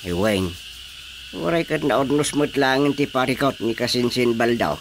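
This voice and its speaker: A man's voice: mid-range voice, animated, moderate pace, noisy background, lightly acted. A man playing an old, easygoing village husband and father who gossips with his wife in a radio drama. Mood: amused.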